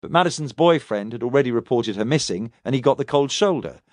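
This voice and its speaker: A man's voice: mid-range voice, expressive, quick pace, darkish tone, dry recording, natural voice. A man performs a stubborn male suspect in an audiobook. He answers tersely and keeps himself controlled and defiant under questioning. The recording is close and dry. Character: guarded, stubborn Englishman, taxi driver under police questioning.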